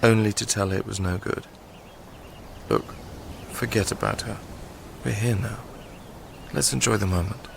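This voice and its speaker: An actor playing an educated, ardent young lover with an English accent, in naturalistic radio drama. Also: male voice, on the low side, steady tone, normal speed, gravelly, pauses often, noisy background. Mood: dismissive.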